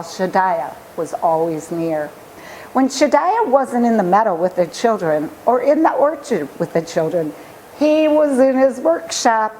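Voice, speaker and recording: A woman storyteller reading a children's picture book aloud with expressive, deliberate phrasing. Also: medium pitch, a little hiss or hum, low-quality recording.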